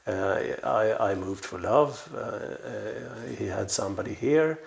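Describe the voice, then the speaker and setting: A man in his sixties with a low, creaky voice talks as the guest in a conversational interview. He comes from Sweden and speaks haltingly, repeating words as he works through anecdotes and reflections. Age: 60s. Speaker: interview guest, veteran publisher and editor.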